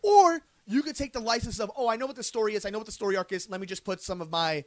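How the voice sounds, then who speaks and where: Emphatic high-voiced podcast man. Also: rapid-fire, no room sound. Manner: emphatic, argumentative, restarts often. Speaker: podcast co-host. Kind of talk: casual chat.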